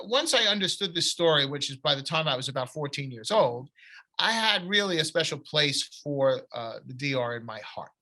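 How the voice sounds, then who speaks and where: A middle-aged man with a bright, slightly high voice. He spins long, winding anecdotes full of restarts and asides, in an easy conversational way. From United States. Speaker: author. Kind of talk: conversational storytelling.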